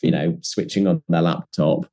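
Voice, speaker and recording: A man's voice: low-pitched, fairly even intonation, normal speed, mellow. A documentary producer being interviewed on a podcast, explaining his views earnestly and at length. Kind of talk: casual conversational interview.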